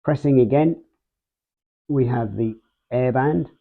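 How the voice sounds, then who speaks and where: A man's voice: medium pitch, slow, vocal fry, phone-quality sound. A man hosting a casual product review, explaining things step by step. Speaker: host of a radio gear review channel.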